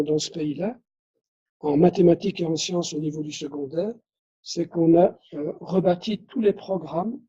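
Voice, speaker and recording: A man's voice: fairly high pitch, warm tone, breathy edge, muffled recording. A man from Belgium whose first language is Dutch, giving a conference lecture, restarting phrases and adding tag questions. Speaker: conference speaker.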